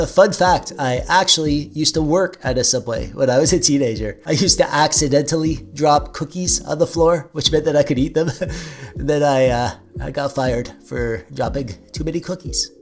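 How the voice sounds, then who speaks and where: A man in his forties from Canada, with a nasal voice and a slight stutter, answering viewer questions in a joking, dorky way. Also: on the high side. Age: 40s. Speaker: YouTube host. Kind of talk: casual Q&A vlog.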